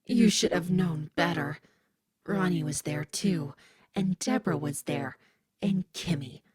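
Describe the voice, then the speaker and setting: A woman voicing a cold evil spirit that has taken over a teenage girl, speaking in a low, husky, raspy voice. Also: a little mellow, dry recording, full character voice. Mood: menacing. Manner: slow, cold and threatening.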